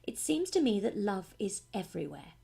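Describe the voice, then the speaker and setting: A woman giving a scripted audiobook-style narration in a measured, expressive storytelling manner. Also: mid-range voice, creaky, breathy edge. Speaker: voice actor narrating demo reel.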